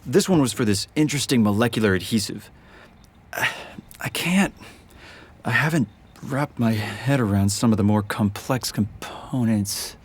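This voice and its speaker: A man's voice: medium pitch, measured pace, slightly rough. A man playing an awkward, sardonic, bookish teenage boy in a radio drama. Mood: hesitant. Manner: hesitant, nervous, sardonic.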